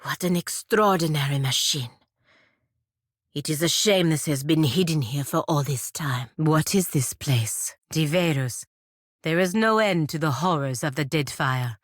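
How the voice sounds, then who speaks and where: Proud stern fantasy game warrior lady, low voice, formal n dryly sardonic, very dry close sound. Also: dry recording. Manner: formal, measured, dryly sardonic, avoids contractions. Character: stern, proud, wry feathered warrior woman from a fantasy republic. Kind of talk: scripted video game companion voice lines.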